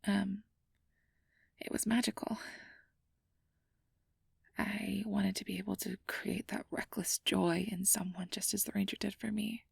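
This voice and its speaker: A woman playing a nervous, earnest young fantasy researcher in an ASMR roleplay, rambling and self-doubting, with soft breathy delivery. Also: mid-range voice, level tone, measured pace, long pauses, dry recording, full character voice. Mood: wistful, soft. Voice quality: breathy, often dropping to a soft whisper. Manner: flustered, stammering, over-explaining, self-doubting.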